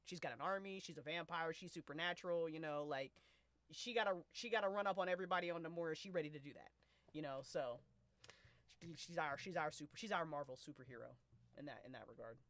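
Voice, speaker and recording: A woman's voice: sits low, fast talker, fairly bright tone. An American woman around thirty chatting casually as a podcast host, with emphatic, slangy, sweary phrasing. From United States. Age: late 20s to early 30s. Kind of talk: casual panel chat.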